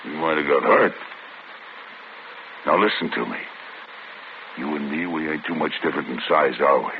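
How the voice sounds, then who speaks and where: Deep, threatening convict; 1940s radio play, tinny broadcast with hiss. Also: male voice, normal speed. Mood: menacing. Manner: tough gravelly street talk, clipped threats. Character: hardened male convict, desperate and menacing.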